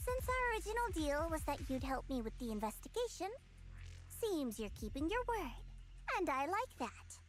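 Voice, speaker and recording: A shrewd young investigator in a video game. She speaks high with animated swings, sly and self-assured, with music underneath. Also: moderate pace. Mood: cool, amused. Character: confident, shrewd, teasing young female investigator.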